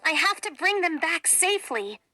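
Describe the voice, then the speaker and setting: A brave, kind young storybook heroine, acted by a woman reader, high and bright in voice. She is earnest and impulsive, speaking in exclamations. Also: sing-song, normal speed, soft background music, heavily acted. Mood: determined.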